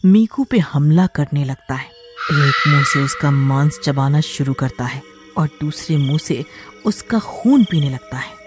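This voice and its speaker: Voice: mid-range voice, animated, a little slow. A narrator reading a scripted horror story for a cartoon. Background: background music.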